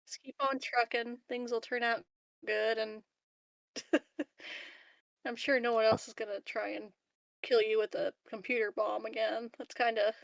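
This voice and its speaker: A woman's voice: a little high, level tone, moderate pace, creaky, dry recording. A woman playing a supportive, hesitant, slightly giggly colleague in an improvised tabletop roleplay. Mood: awkwardly encouraging. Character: supportive, reassuring female colony colleague named Simone.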